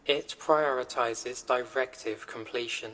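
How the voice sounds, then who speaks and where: A man voicing an impassive corporate AI in an audio roleplay, reciting directives in a formal, measured, impersonal way. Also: medium pitch, steady tone, fairly bright tone, a little hiss or hum, lightly acted, low-quality recording. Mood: impassive, instructive. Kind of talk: scripted audio roleplay announcement.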